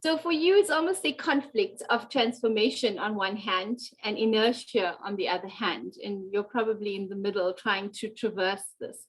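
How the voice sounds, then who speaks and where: A woman's voice: medium pitch, normal speed, few pauses, breathy edge, poor audio. A woman from South Africa moderating a panel discussion, with a chatty, complimentary way of talking.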